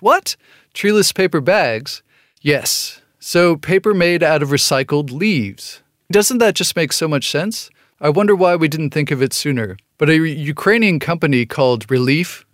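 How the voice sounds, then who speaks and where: A man's voice: medium pitch, no room sound, clean studio sound. A man hosting a radio show, reading an upbeat segment and interviewing a guest.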